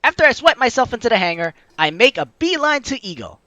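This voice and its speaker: A man hosting a game playthrough, reading visual novel lines aloud in an expressive, playful, theatrical way. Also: extremely high pitch, fast talker.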